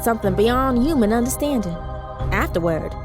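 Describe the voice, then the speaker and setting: An actress playing a commanding, wry 1940s spy who narrates an audio drama with confident, dramatic delivery. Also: female voice, on the high side, lively intonation, normal speed, natural voice. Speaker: narrator and lead character. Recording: music under the voice.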